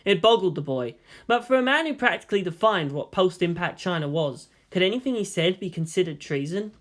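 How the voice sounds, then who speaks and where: A man's voice: high-pitched, expressive, fairly quick, fairly bright tone. A man reading a story aloud as scripted narration. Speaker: fan fiction reader.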